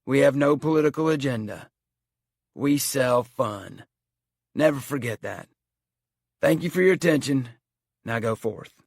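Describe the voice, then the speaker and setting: A man's voice: medium pitch, expressive, measured pace, vocal fry, long pauses, no room sound, clean studio sound, voice pushed up. A man voicing a very old male amusement park owner giving a solemn, sweeping speech. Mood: grand, earnest.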